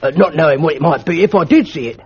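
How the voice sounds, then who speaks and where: Old male school porter, deferential but stubborn, rough dialect; played by a man. Raspy, fairly high. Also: fast talker, a little mellow. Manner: ungrammatical dialect, deferential, keeps saying "sir". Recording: lo-fi old archive recording.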